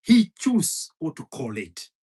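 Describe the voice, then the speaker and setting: A man's voice: a little high, sing-song, slow, mellow, slightly rough. A man from Kenya, a Kikuyu speaker, delivering a heated, profane monologue full of hammering repetition. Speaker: commentator. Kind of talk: impassioned monologue.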